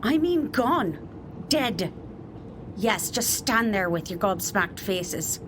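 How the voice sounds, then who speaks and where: A woman playing a grieving, stern leader of the Sirens in a tabletop roleplay, speaking in a measured, grave way. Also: medium pitch, big pitch swings, background noise. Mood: bitter.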